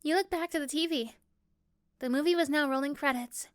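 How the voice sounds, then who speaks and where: High-pitched woman narrating a story. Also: brisk, no echo, studio quality. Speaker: narrator and performer. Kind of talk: scripted second-person story narration.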